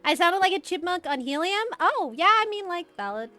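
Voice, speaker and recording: A hyper, bubbly woman with a high-pitched voice, chatting casually while streaming a game. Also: very animated, fairly quick, bright, forceful tone, soft background music. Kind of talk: casual gaming stream chat.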